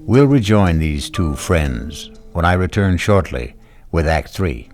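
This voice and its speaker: A man hosting a scripted radio mystery drama, narrating in an ominous, deliberate manner. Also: low-pitched, animated, a little mellow. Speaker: host and narrator. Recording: vintage radio recording with music.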